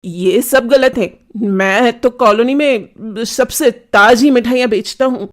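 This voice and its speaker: A woman's voice: fairly high pitch, slow pace. A woman voicing a cowardly, dishonest male shopkeeper in a children's cartoon story, stammering and frightened. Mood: nervous. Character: cowardly, lying male sweet seller.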